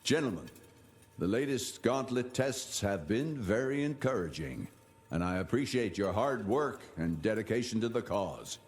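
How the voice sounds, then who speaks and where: Formal game-journal leader, stern, authoritative, measured, very varied intonation. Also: male voice, on the low side, sing-song. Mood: stern, earnest. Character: stern, formal male 1880s institute leader. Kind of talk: dramatic recorded journal in a video game.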